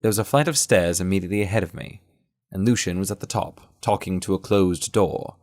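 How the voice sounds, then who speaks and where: Male narrator, low register, measured delivery of first-person fiction, very dry sound. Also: darkish tone, no echo.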